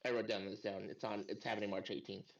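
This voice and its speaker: A man's voice: lowish voice, little pitch movement, fast talker, vocal fry, far from the mic. Player, man, exasperated, swears, echoey room, lo-fi mic. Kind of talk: casual chat. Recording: roomy, dull lo-fi voice chat.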